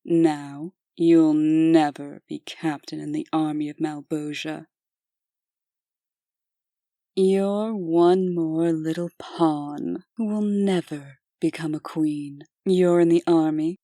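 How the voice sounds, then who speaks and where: A woman's voice: sits low, steady tone, mellow, no echo, clean studio sound. A woman playing a haughty, condescending warrior huntress who coolly taunts her prey in a comic dub. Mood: mocking. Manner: slow, mocking, condescending.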